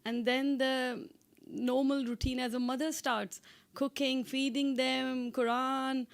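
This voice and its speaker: A middle-aged woman from Pakistan, whose first language is not English, talking about her work as a driving instructor in a radio interview, pausing often with fillers and restarts. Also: high-pitched, flat delivery, gravelly. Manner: hesitant, many fillers and restarts. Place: radio studio.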